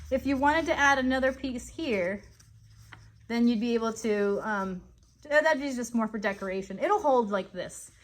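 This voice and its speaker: Bright, high-voiced woman hosting for kids. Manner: instructional, simple wording, checks in with viewers. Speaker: children's show host. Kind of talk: friendly craft demonstration for children.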